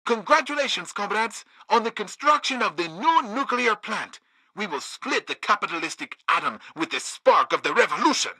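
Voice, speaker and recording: Zealous revolutionary comrade, hard bright edge, husky, dry sound, rousing comic political address. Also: medium pitch, animated, normal speed, no echo. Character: zealous communist comrade giving official congratulations.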